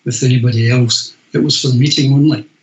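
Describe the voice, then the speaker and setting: A man's voice: mid-range voice, fairly even intonation, quick pace. A man speaking as a councillor in a formal meeting discussion. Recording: echoey, muffled video-call audio.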